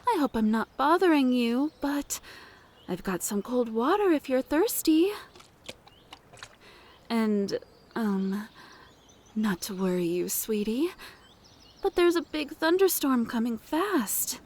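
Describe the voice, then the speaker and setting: A woman's voice: fairly high pitch, sing-song, takes it slowly, pauses often, darkish tone, heavily acted. A woman playing a flirtatious, mature, motherly cabin owner in an ASMR roleplay, coaxing and doting with pet names. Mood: friendly, worried.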